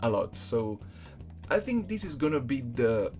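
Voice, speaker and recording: Slow, gravelly man talking to viewers. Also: mid-range voice, very animated, soft background music. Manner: soft-spoken, halting. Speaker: amateur voice actor presenting his challenge video. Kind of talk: casual talk to viewers.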